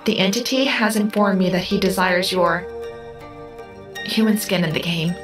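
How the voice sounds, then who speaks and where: A calm, selfless female AI, voiced by a woman in an audio drama, precise and measured. Her pitch sits in the middle, over clear background music. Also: lightly acted.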